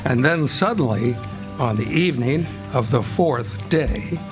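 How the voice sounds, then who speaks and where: A man's voice: medium pitch, animated, unhurried, lightly acted. An actor as the narrator of a radio play, an American man telling a river tale in a folksy, descriptive way. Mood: scene-setting. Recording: live stage with music behind.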